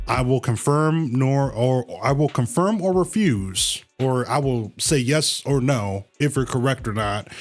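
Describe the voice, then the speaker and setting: A man hosting a casual game livestream, rambling along and addressing his viewers as y'all. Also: mid-range voice. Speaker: livestream host. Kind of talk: casual game stream commentary.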